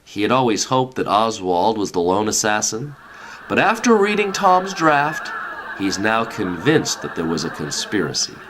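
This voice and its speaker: Older man actor's performance, jovial official, fatherly reassurance, lively intonation, on the deep side, comic radio play. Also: moderate pace, slight background noise, low-quality recording. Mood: confident, smug. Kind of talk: comedic radio play acting.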